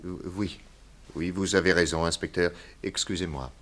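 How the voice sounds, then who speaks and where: A shrewd private detective in a radio mystery. His voice is fairly low and measured, and he probes with great courtesy and deference. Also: steady tone, fairly bright tone. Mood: deferential, thoughtful. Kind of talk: French radio detective drama acting.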